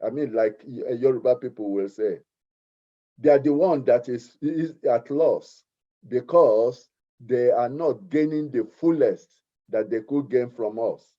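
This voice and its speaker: A middle-aged man with a Nigerian accent, speaking earnestly at a community meeting and often repeating his words. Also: mid-range voice. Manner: earnest, repeats himself, deliberate. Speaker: community speaker, art teacher. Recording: muffled lo-fi recording.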